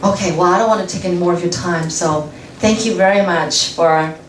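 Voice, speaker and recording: A woman's voice: on the low side, brisk. A woman speaking as a guest to a class, chatty and casual, laughing often and restarting her sentences. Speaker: guest speaker, radio journalist. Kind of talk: talk answering audience questions. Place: lecture room. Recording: echoey room from far off, faint noise.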